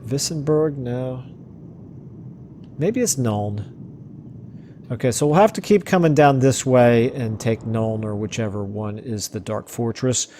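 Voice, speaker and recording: Man, let's play host, hoarse, mellow tone, thinking aloud, casual game commentary. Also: medium pitch, measured pace, long pauses, a little hiss or hum. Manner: musing, thinking aloud with fillers. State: hoarse, losing his voice.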